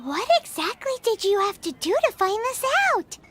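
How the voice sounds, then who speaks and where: A woman voicing a tiny, cheeky, childlike fairy companion in a video game, squeaky and nosy. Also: expressive, normal speed, soft background music. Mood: curious, surprised. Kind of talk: scripted video game dialogue.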